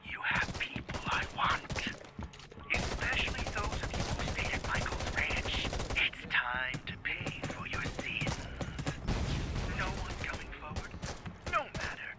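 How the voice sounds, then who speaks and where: Game villain: taunting raider chief, theatrical, very animated delivery, heavy battle noise behind. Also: male voice, a little high, a little slow. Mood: taunting menace. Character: menacing male raider leader, taunting and vengeful. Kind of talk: dramatic video game acting. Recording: loud gunfire and battle noise.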